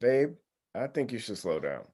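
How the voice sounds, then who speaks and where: A man's voice: on the low side, big pitch swings, normal speed, dark tone, slightly rough, exaggerated voice. A man playing a mild, sensible groom with a hesitant, understated manner in a comedy table read. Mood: mildly reproving. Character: mild, sensible groom, easily talked round.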